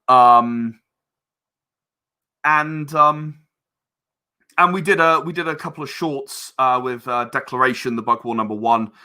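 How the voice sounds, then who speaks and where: Bright-voiced man chatting as an interview guest. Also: mid-range voice, a little slow, pauses often, clean tone. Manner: chatty, rambling, explanatory. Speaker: interview guest, game and audio drama creator. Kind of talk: casual interview talk.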